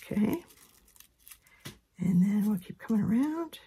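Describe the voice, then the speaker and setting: A woman with a hoarse voice from a head cold, giving a relaxed, chatty craft tutorial at her work table. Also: mid-range voice, a little slow. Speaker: craft instructor. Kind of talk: casual step-by-step tutorial.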